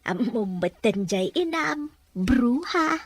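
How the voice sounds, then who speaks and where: A woman's voice: on the high side, animated, slow pace, heavily acted. A Filipino woman playing a chatty, deferential older household helper in an Ilocano radio drama, folksy and placating. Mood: gossipy.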